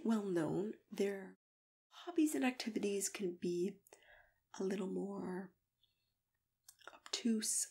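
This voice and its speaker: Woman playing a soft whispery, creaky-voiced matchmaker. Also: mid-range voice, lightly acted. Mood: tactful, hesitant. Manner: gentle, hesitant, murmured acknowledgements. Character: kindly, patient female monster matchmaker interviewing a client. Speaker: ASMR roleplay performer. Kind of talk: ASMR roleplay, soft-spoken and whispered. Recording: clear background noise, keyboard typing and clicks. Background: computer keyboard typing.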